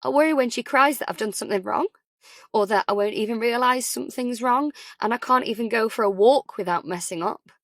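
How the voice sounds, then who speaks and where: An overwhelmed young mother, acted out by a woman narrator, anxious and close to tears. She spills her worries in a hurried, emotional way, like a character in an audiobook, in a close, dry recording. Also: fairly high pitch, fairly bright tone, dry recording. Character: anxious, tearful young first-time mother, overwhelmed and defensive.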